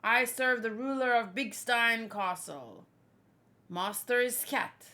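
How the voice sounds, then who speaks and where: Woman reading as a brisk, ominous castle servant. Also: fairly high pitch, bright, forceful tone. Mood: brisk, informative. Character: hurried stranger, servant of a castle's ruler, warning travellers. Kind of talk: acted manga read-along.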